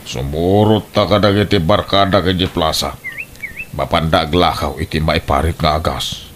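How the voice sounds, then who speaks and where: A man's voice: a bass voice, fairly even intonation, normal speed, slight background noise. A man playing a roguish, pushy uncle who coaxes others into trouble, in an Ilocano-accented radio drama. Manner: cajoling, pushy. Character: roguish, coaxing uncle, a bad influence.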